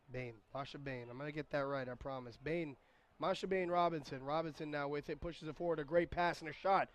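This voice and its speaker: A man with a raspy, hoarse voice doing solo play-by-play commentary of a soccer match, chatty and quick to correct himself. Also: mid-range voice. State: hoarse voice recovering. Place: outdoor stadium.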